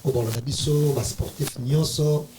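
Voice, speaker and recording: A man's voice: a little high, fairly even intonation, moderate pace. Stumbling male co-host over noise. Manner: stumbling, repetitive. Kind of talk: talk-show greeting.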